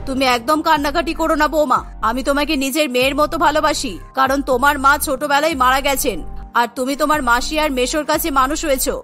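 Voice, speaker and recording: A woman's voice: high voice, sharp tone. A woman voicing a stern, protective middle-aged mother-in-law in a cartoon story, speaking firmly and emphatically. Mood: consoling. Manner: firm, scolding, emphatic. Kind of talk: Bengali cartoon story acting.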